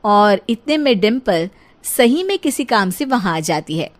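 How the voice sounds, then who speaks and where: A woman narrating a cartoon story in a lively storytelling manner. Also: mid-range voice, measured pace.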